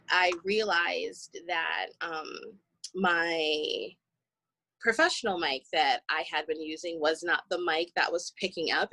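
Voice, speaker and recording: A woman, a stage actor, talking casually in an interview, breaking her phrases with pauses. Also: on the low side, fairly bright tone, dry recording, rough recording.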